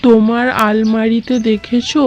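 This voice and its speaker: Mellow, even-toned young daughter-in-law, played by a woman. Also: on the high side, moderate pace, lightly acted. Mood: coy, fussy. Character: young daughters-in-law, vain or dutiful, in family cartoon. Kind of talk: moral-story cartoon dialogue. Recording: dull lo-fi audio, faint music behind.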